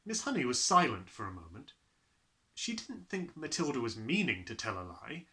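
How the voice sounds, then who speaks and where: A man reads a children's story as narrator. His voice is bright and forward, with lively, wide-ranging intonation and an expressive storytelling delivery. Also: mid-range voice, normal speed. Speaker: audiobook narrator.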